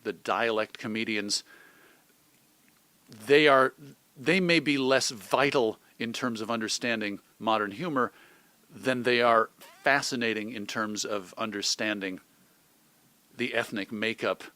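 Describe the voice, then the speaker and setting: A man's voice: lowish voice, measured pace, sharp tone, no room sound. A middle-aged man with an American accent, talking reflectively and articulately as a guest in a conversational interview. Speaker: interview guest, radio host and editor.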